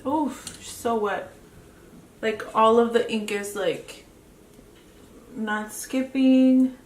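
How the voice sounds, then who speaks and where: Slow-talking woman with a Mexican accent. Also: a little high, steady tone, darkish tone. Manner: casual, chatty, trailing off while working. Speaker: beauty vlogger. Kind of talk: makeup tutorial talk. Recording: echoey room, mic at a distance, faint hiss.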